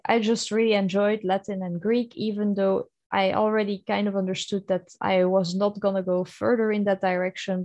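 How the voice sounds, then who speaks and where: A woman's voice: mid-range voice, vocal fry, a little mellow, no room sound, lo-fi sound. A young woman with a Flemish accent, a native Dutch speaker from Belgium, hosting a casual solo podcast in an earnest, chatty way with many fillers and restarts. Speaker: podcast host.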